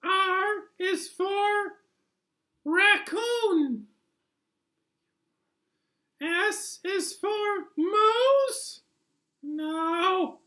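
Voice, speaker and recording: A man voicing a patient zebra puppet, slowly reciting in a children's puppet show. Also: very high voice, animated, bright, forceful tone, voice pushed up, heavily acted. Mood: patient, deliberate. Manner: slow, deliberate.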